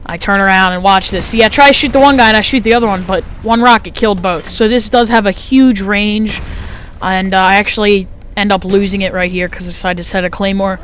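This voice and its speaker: A teenage boy with a light, high-sounding voice gives relaxed, rambling commentary over a match replay. He wanders between points and leans on fillers. Faint noise sits under the recording. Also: a little fast. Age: teens. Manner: chatty, rambling, frequent fillers. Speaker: gameplay commentator. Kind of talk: casual gameplay commentary.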